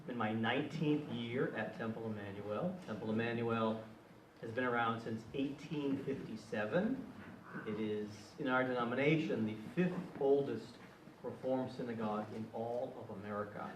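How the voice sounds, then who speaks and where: A middle-aged rabbi introduces himself at a panel, chatty and ready with a joke. His voice reaches the mic from some distance across an echoing event hall. Steady background noise fills the room. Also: medium pitch, normal speed. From New Hampshire. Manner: chatty, lightly joking asides. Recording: echoey room, far from mic, noisy.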